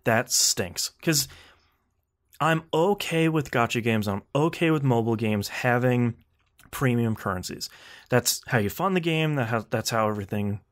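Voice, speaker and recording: A man with a mid-pitched voice talks casually as a podcast co-host. He is blunt and slangy, leaning into animated grumbling in an informal gamer style. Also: normal speed. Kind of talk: casual gaming podcast chat.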